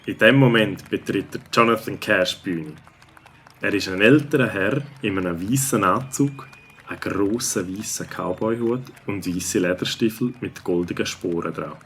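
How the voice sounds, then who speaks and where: Male narrator for kids' audio story, lowish voice, faint noise and ambience behind. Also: fairly bright tone. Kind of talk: scripted children's story narration.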